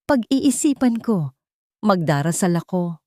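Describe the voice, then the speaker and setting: A woman voicing a frail, weary elderly mother who pleads quietly and guardedly in an audio drama. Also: fairly low pitch, very animated, measured pace, no echo, studio quality. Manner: faint, wavering pleading.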